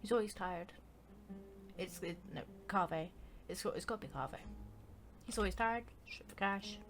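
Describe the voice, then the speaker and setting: A woman's voice: medium pitch, normal speed. A woman streaming a game with casual, playful, slangy commentary. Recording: game music behind the voice.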